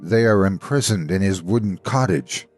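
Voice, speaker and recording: An actor playing a jolly, friendly village man in an audio storybook, hearty and theatrical, with a husky voice. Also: low voice, normal speed, a little mellow. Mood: earnest, grave. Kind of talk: audio storybook character acting. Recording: music behind.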